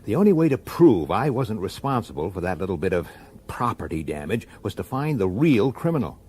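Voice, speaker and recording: A man's voice: fairly low pitch, sing-song, fairly quick, a little mellow, breathy edge. A man acting in a radio drama as a weary, dogged police detective who narrates his own case with an urgent, exasperated manner. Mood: weary, determined. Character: weary, dogged human police detective, sharp-tempered and intense. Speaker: detective and first-person narrator.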